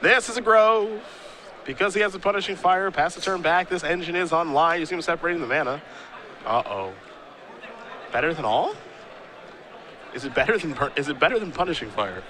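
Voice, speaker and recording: A man calling live game play-by-play, steady when describing plays and easygoing in banter with his co-host. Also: a little high, a little fast, pauses often. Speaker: play-by-play commentator. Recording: noisy live event audio.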